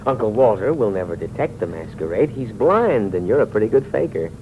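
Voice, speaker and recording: A man's voice: on the low side, big pitch swings, a little fast. An actor playing a mocking, wealthy man with a weak heart who needles his companion with dry wit. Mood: taunting. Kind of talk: 1950s radio drama acting. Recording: dull, noisy old radio recording.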